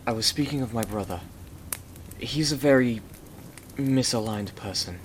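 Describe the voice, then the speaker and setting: A man acts out a gentle young Victorian gentleman, devoted and courteous, for an ASMR roleplay. His voice sits fairly low and he speaks with earnest tenderness. There is noticeable noise and rain behind him.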